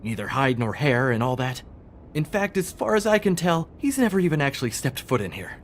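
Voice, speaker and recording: An actor playing a glib, smug pawnshop clerk in a video game, flippant and sarcastic. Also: male voice, medium pitch, sing-song, a little fast, a little hiss or hum. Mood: defensive.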